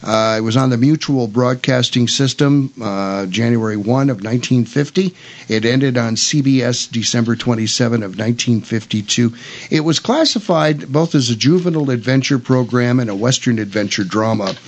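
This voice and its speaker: An older man co-hosting a radio talk show, chatting casually and reading bits aloud in a halting way. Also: mid-range voice. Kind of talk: casual chat about old radio westerns, some reading aloud.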